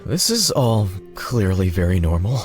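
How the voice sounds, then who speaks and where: A man's voice: deep voice, big pitch swings, unhurried, faint music behind. An actor playing a male contestant in a visual novel, speaking in short interjections. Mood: dry, bemused.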